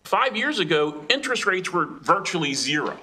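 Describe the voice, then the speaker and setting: A man's voice: high voice, big pitch swings, moderate pace, pushed and bright. A man testifying against a bill at a legislative hearing, firm and argumentative. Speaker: industry witness.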